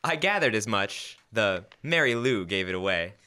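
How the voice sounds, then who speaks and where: A teenage male actor playing an excitable, meddling suburban father in old-time radio comedy style. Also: fairly low pitch, moderate pace, smooth. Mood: amused. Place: school library. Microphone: standing stage microphone.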